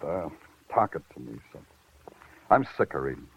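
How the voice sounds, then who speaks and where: An actor playing a weary, wry, hard-boiled police detective in 1940s radio noir style, clipped and sardonic. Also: male voice, rumbling low, big pitch swings, moderate pace, gravelly, husky, dry recording, muffled recording, heavily acted. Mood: weary, dry. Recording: band-limited vintage radio recording.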